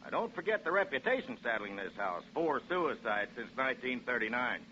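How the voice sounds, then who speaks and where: A breezy American property salesman in a 1940s radio play. His voice is bright and very lively, with a folksy, reassuring patter. The recording is muffled and old. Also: mid-range voice, fairly quick, a little hiss or hum. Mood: breezy, persuasive. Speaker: real estate agent.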